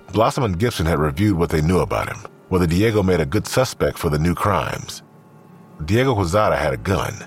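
Very deep-voiced male drama narrator. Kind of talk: scripted audio drama narration.

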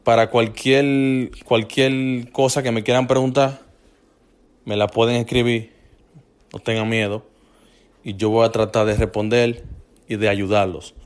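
A man's voice: mid-range voice, flat delivery, a little slow, long pauses. A man from the Republic, hosting a casual motivational fitness podcast, talking loosely, repeating himself and dropping in English fillers. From Dominican Republic. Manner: loose and repetitive, restarting phrases, mixing in English fillers.

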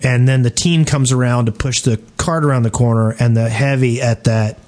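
A man's voice: medium pitch, steady tone, normal speed, darkish tone. A man chatting casually as a panelist on a gaming podcast, hesitant and inquisitive. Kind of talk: casual chat about gaming.

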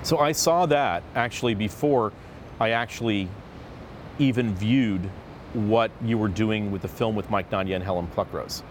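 A man hosting a casual roundtable discussion, talking in an animated and jokey way with an upbeat lilt. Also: fairly low pitch, normal speed, a little hiss or hum. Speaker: host of a discussion panel. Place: seated at a table in a city.

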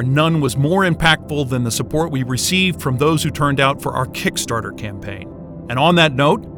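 A man with a fairly high, crisp-edged bright voice delivers a scripted podcast announcement as its co-creator. Faint music plays behind him. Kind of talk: scripted thank-you announcement.

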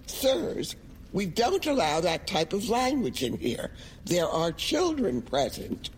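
A woman playing a prim, polite but firm librarian in an audio drama, measured and courteous. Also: on the low side, sing-song. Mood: polite firmness. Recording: echoey hall, faint room noise.

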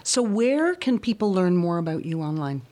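Animated woman co-host. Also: lowish voice, moderate pace. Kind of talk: radio talk show hosting.

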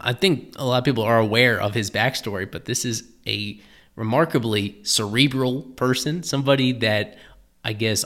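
A man giving analytical commentary on a baseball pitcher, thoughtful and explanatory, often restarting his phrases. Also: a bit bright.

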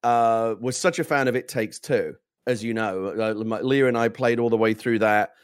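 A man co-hosting a casual gaming show, loud and enthusiastic, rambling with restarts. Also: mid-range voice, moderate pace, smooth, dry recording, clean studio sound. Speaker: co-host. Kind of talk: casual gaming news chat.

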